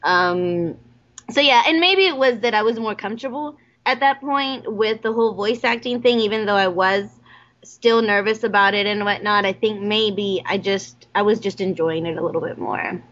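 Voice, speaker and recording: A woman's voice: mid-range voice, moderate pace, a bit bright. Chatty Texan woman, lo-fi podcast. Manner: chatty, laughs often, many fillers. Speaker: voice actress guest. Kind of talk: casual interview chat. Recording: dull lo-fi podcast audio.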